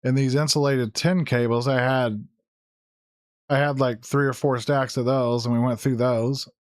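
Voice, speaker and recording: Hoarse man rambling over gameplay. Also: medium pitch, steady tone, a little slow, no echo, clean studio sound. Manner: rambling, thinking aloud with fillers and self-corrections. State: hoarse, losing his voice. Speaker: gaming YouTuber. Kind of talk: casual Let's Play commentary.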